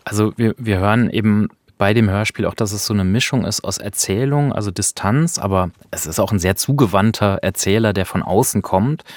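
Thoughtful low-voiced man in dry studio. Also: a little fast, hardly any pauses, no echo. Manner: thoughtful, searching, many fillers. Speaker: radio host. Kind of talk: casual radio conversation about a radio play.

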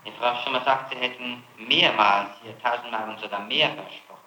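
A man's voice: mid-range voice, steady tone, a little fast, pushed and bright, slight background noise. A man questioning a suspect as a prosecutor, confrontational and persistent, pressing each point. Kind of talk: interrogation. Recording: echoey archival tape of interrogation.

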